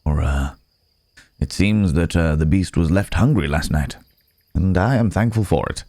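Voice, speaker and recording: Man voicing a very deep, mellow courteous werewolf gentleman. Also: normal speed, no room sound. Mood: sheepish, genial. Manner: hesitant, formal, polite phrasing with soft tag questions. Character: courteous, guarded werewolf man, a grateful city-born stranger. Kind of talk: intimate ASMR roleplay acting.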